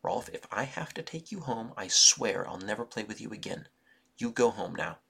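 A determined young farm girl speaks firmly and often drops to a hushed hiss, as a man voices her. Her tone is gravelly and a little husky, with lively rises and falls. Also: lowish voice, moderate pace, exaggerated voice. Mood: scolding. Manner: firm, hushed scolding.

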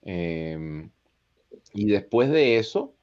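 Slow, very deep-voiced Costa Rican man. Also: big pitch swings, a little mellow, smooth. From Costa Rica. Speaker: podcast guest, content creator. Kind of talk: casual autobiographical conversation. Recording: dull, muffled lo-fi audio.

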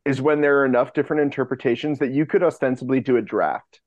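A man's voice: mid-range voice, steady tone, fast talker, a little mellow, no room sound, highs cut off. A man speaking as a podcast guest in casual, rambling, enthusiastic interview chat. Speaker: podcast guest, fiction podcast co-creator.

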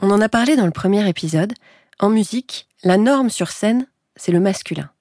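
A woman hosting a podcast, reading a scripted narration in a calm, measured way. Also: medium pitch, no echo, studio quality.

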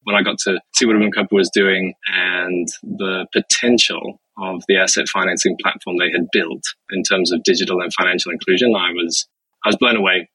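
A man from Zimbabwe, a business executive answering interview questions in a measured, explanatory way with frequent fillers. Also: low voice, level tone, pushed and bright, husky, no room sound, poor audio.

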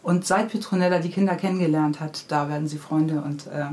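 A woman's voice: sits low, steady tone, a little fast, a little mellow. A middle-aged woman, an author being interviewed, explaining her stories in a friendly, chatty and detailed way. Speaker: interviewed children's book author. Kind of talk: casual interview, explaining and storytelling. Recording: clearly audible background noise.

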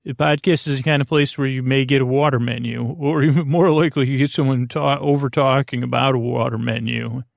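Creaky-voiced man, rambling bedtime podcast host. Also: mid-range voice, fairly even intonation, no room sound, clean studio sound. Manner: meandering, halting, digressive.

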